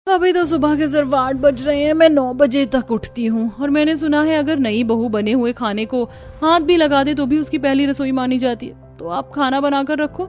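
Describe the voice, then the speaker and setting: A woman acts a spoiled young bride in a cartoon, entitled and bossy. Her voice is fairly high, with faint music behind it. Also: normal speed, darkish tone, lightly acted. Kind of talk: scripted cartoon voice acting.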